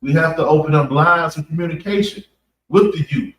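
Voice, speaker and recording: An American man giving an off-the-cuff religious address, halting and repeating phrases for emphasis. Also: a little high, a bit bright. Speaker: preacher giving a public address at a mosque. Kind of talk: extemporaneous religious talk. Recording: echoey room, distant mic.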